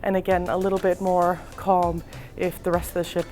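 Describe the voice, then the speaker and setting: A woman with a husky voice from a slightly sore throat, giving a relaxed walking tour of a cruise ship with frequent ums. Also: lowish voice, moderate pace, soft background music. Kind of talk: casual walking tour narration. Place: indoors, moving through a cruise ship.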